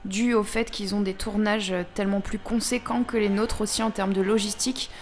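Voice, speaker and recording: A woman speaking as a guest in a casual radio discussion, measured and explanatory. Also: medium pitch, fairly even intonation. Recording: faint background noise.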